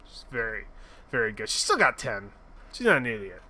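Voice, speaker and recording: A man's voice: medium pitch, big pitch swings, brisk, bright, forceful tone. A man streaming a game, chatting casually with goofy, laughing asides. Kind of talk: casual gameplay commentary.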